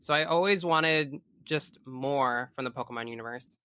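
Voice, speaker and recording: A man with a crisp, forward voice talks as a podcast guest in casual conversation. His delivery is bright and frank with a geeky streak, and the sound is close and dry. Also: medium pitch, moderate pace, no room sound. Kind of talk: casual chat about video games.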